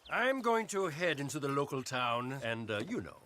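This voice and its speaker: A man's voice: medium pitch, big pitch swings, normal speed, sharp tone, a little hiss or hum. An actor playing a reserved, businesslike male moneylender in a western video game, formal and slightly hesitant. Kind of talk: video game cutscene acting.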